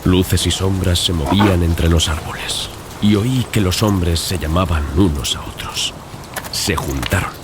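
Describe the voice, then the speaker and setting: A man with a very deep voice narrates a horror audiobook. His delivery is scripted, dramatic storytelling. Noticeable ambient noise sits behind the voice. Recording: clear background ambience and noise. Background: sound-design ambience.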